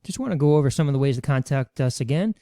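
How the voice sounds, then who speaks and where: A man's voice: medium pitch, expressive, racing, mellow, no room sound, studio quality. A man explaining retirement benefits rules as a webinar presenter, walking through them step by step with worked examples. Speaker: financial educator presenting a webinar.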